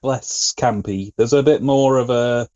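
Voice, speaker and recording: A man co-hosting a podcast, casually discussing and explaining his opinions in a measured, careful way. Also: mid-range voice, a little mellow, dry recording, dull audio. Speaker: podcast co-host.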